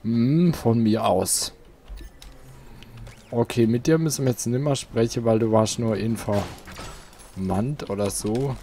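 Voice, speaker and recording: A man's voice: mid-range voice, slow pace, pauses often, a little hiss or hum. Chatty man commenting on a game. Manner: chatty, relaxed, thinking aloud. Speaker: let's play host. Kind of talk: casual gameplay commentary. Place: home, seated on a chair.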